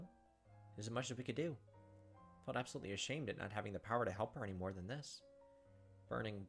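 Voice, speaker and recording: A man's voice: on the low side, a bit bright. A man hosting a let's play, reading game text aloud dramatically with casual asides. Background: game music.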